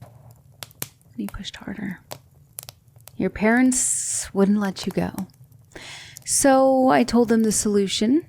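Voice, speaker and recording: A woman playing a gentle, patient demon mentor in an ASMR roleplay, speaking softly and often in a whisper, soothing and reassuring. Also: medium pitch, slow, vocal fry, long pauses, slight background noise. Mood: calm, solemn storytelling.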